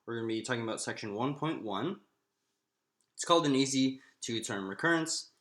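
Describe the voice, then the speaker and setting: Man lecturing in an echoey room, animated pitch. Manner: halting, thinking aloud with drawn-out pauses. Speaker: math lecturer. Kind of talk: informal lecture, working through a derivation aloud. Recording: roomy, echoing room sound.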